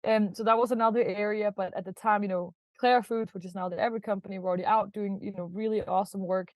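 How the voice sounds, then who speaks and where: A woman's voice: medium pitch, fairly even intonation, fast talker, dry recording. Chatty Danish-born woman, dry sound. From Denmark. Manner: chatty, laughs often, many "like" and "kind of" fillers. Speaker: guest, startup founder. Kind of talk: casual podcast interview.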